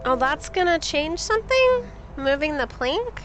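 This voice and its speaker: A woman's voice: sits high, big pitch swings, unhurried, noisy background. A woman streaming a game, sniffly, chatting casually with viewers and reading things aloud. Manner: chatty, reads things aloud, asks chat questions. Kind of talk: casual live-stream commentary.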